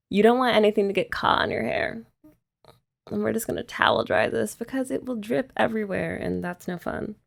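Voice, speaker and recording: A woman's voice: medium pitch, gravelly. A young woman doing a chatty ASMR girlfriend roleplay, explaining each step and teasing her listener. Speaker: ASMR creator.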